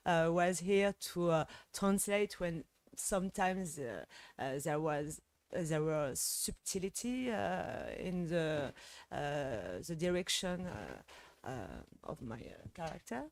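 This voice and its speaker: A woman with a French accent speaks unhurried English at a press conference, stopping often to hunt for the right word. Her voice sits a little low, and her answers are broken up by steady fillers while she feels her way through each sentence. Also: no echo. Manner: halting, searching for English words, many fillers. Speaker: actress.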